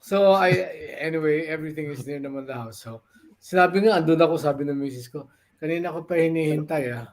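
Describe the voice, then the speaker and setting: A man's voice: on the high side, fast, a little mellow. An older man with a Filipino accent telling stories in casual reunion chat, switching between two languages. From Philippines. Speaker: former radio DJ guest.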